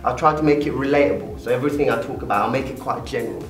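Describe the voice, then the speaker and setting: A man's voice: medium pitch, very fast. A young man from Greece, a rapper guest talking earnestly in a casual interview. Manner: earnest, laughs easily, repeats lists. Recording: echoey room, far from the mic, faint music.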